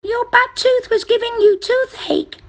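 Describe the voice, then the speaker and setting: A woman voicing a tiny, kind, brave mouse in a children's story, with a squeaky small voice. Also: a little slow, creaky, heavily acted. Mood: helpful.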